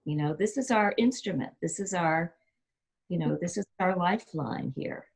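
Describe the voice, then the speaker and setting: Husky low-voiced woman giving earnest advice. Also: moderate pace. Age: middle-aged. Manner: earnest, encouraging, repeats key phrases. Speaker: yoga teacher and singer. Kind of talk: interview answers, giving teaching advice.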